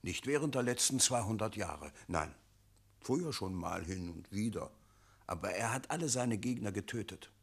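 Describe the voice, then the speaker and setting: A resigned, self-satisfied father of the town, speaks in a radio play. His voice is on the low side, gravelly and husky, with a placid, explanatory manner. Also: lively intonation, normal speed, heavily acted. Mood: matter-of-fact admiring. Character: resigned, complacent townsman father defending the town's tyrant. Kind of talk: theatrical radio play acting.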